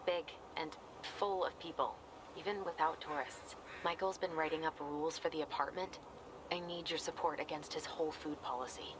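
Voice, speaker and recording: A woman's voice: low-pitched, fairly even intonation, moderate pace, background noise, lightly acted. An actress playing a wry, affectionate young American woman in a video game, chatty and dryly playful. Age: young adult. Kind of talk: naturalistic video game dialogue.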